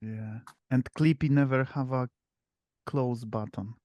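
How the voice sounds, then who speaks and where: A man with a Polish accent hosting a relaxed group video call, talking haltingly with many fillers and restarts. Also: medium pitch, no room sound, muffled recording. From Poland. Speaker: meetup host. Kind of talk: casual online group chat.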